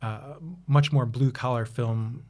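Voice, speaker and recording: A man's voice: mid-range voice, fairly even intonation, slow, darkish tone. Man, 30s, chatty podcast guest, rambling storyteller. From United States. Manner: chatty, rambling with many restarts and fillers, laughs easily. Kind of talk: casual interview chat.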